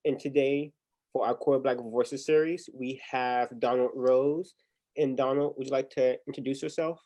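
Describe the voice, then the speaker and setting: A man's voice: medium pitch, fairly even intonation, moderate pace, warm tone. A young man hosting a livestreamed interview on a video call, chatty, often repeating words as he restarts. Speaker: interview host.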